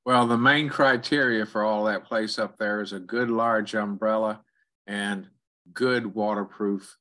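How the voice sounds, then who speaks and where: A man with a fairly low voice and a sharp, bright edge chats casually on a conference call. He delivers his remarks in short broken pieces, pausing between them. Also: fairly even intonation. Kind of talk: casual chat. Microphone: online conference call.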